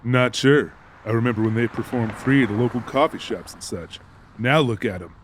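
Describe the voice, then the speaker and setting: A man's voice: medium pitch, moderate pace, a little hiss or hum, close to an everyday voice. Male actor as wisecracking, exasperated regular guy for audiobook; middle register, dry delivery. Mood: casual. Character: exasperated, wisecracking everyman sidekick to a killer. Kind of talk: audiobook character acting.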